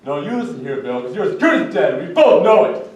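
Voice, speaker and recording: An actor playing a sly, menacing pirate who taunts and mocks. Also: male voice, on the high side, very animated, quick pace, darkish tone, highs cut off. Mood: taunting. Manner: taunting, mocking. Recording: echoey room, far mic.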